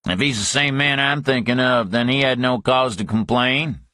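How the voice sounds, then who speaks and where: A man voicing a blustering, angry Southern ex-con with a Southern US accent, his voice pitched up and raised. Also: moderate pace, sharp tone, dry recording, studio quality, close to an everyday voice.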